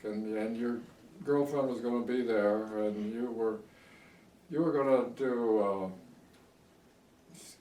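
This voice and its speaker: A man's voice: mid-range voice, darkish tone, slight background noise. Old american guy in his 80s rambling through memories, bit far from the mic in an echoey room. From United States. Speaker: oral history interviewee. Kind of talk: reminiscing in a casual interview. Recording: echoey room, distant microphone.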